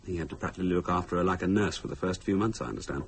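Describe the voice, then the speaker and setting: An actor playing a wry, articulate young British husband who teases morbidly in a radio thriller. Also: male voice, low voice, rapid-fire, a little hiss or hum. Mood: sombre recollection. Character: sardonic, teasing young British husband, educated and morbidly playful. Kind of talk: radio thriller drama dialogue.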